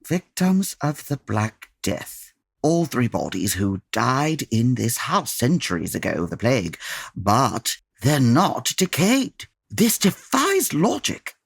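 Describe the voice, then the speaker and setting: British male detective, very low register, proud, clipped, formal, animated radio comedy acting. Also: takes it slowly, creaky, husky, no echo, studio quality, heavily acted. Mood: grave, intrigued. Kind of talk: comic old-time radio drama acting.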